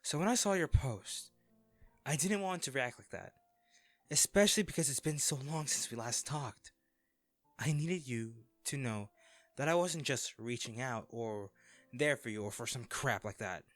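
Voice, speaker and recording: A man acting in an audio roleplay as an anxious, self-deprecating young man, a caring old crush who talks haltingly and intimately to the listener. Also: mid-range voice, no room sound, soft background music, close to an everyday voice. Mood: upset, emotional. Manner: hesitant, halting, sighing.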